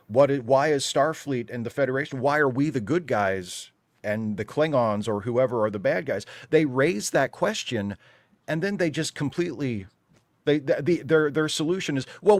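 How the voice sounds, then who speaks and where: A man's voice: medium pitch, lively intonation, moderate pace, no echo. A man chatting casually and giving his opinions emphatically, with exasperated rhetorical asides. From United States. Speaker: panelist on a fan talk show.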